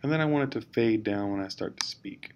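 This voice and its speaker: A man's voice: on the low side, a little fast, darkish tone. A man narrating a casual instructional screencast, explaining step by step in an informal, hesitant way. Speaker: teacher giving software tutorial. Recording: clear background noise.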